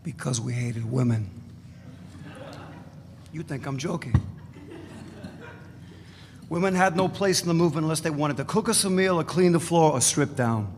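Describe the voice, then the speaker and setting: A man's voice: fairly high pitch, animated, normal speed, pauses often, natural voice. A man playing a swaggering, unrepentant ex-radical reminiscing bluntly and profanely in a dramatic reading. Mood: blunt, provocative. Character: swaggering unrepentant male ex-radical reminiscing about guns and boots. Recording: noisy live reading in reverberant hall.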